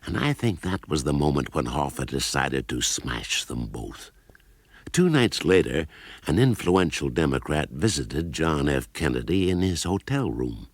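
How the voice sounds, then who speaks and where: A man narrating a radio drama as a grave storyteller, in a measured, deliberate and dramatic manner. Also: extremely low pitch, sing-song, no room sound, exaggerated voice. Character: grave storyteller recounting a political feud, adult man.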